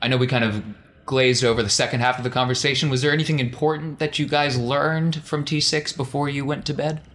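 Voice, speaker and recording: A man's voice: mid-range voice, steady tone, brisk, fairly bright tone. A man hosting an actual play podcast as game master, talking casually and introducing the game.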